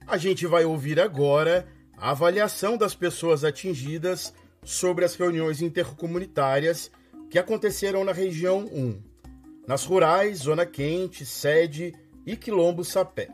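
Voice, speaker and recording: A man's voice: fairly high pitch, a little slow, faint music behind. A Brazilian man presenting a news programme in scripted narration.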